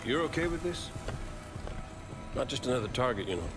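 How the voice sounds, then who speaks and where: An actor playing a wry, uneasy veteran space soldier in a video game, grumbling sardonically in colloquial, everyday phrases. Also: male voice, medium pitch, big pitch swings, fairly quick, lightly acted. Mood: sardonic. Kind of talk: video game cutscene acting. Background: music.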